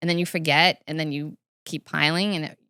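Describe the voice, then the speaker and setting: A woman's voice: low voice, steady tone, fairly quick, pushed and bright, smooth, dry recording, clean studio sound. A woman who is a professional organizer, a guest in an interview, talking in an upbeat way and laughing easily. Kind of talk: interview conversation.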